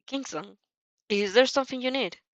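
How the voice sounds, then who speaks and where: A woman voicing a gentle, downcast teenage boy student in a story, soft-spoken and polite. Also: on the high side, normal speed, no echo, dull audio, pitched above the performer's own voice, lightly acted. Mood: puzzled.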